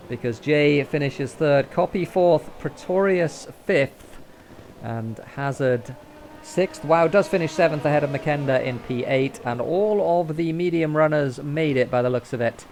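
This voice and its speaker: A man's voice: a little mellow, slight background noise. A man giving live play-by-play commentary on an online racing league, his voice lifting whenever cars battle. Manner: brisk play-by-play that lifts in pitch during battles. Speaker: race commentator.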